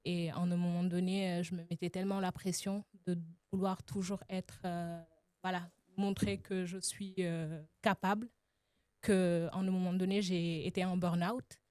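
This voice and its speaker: A woman's voice: fairly low pitch, level tone, normal speed, dark tone, no room sound, clean studio sound. A young woman from Senegal answering interview questions thoughtfully, with frequent hesitations. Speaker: guest, association founder.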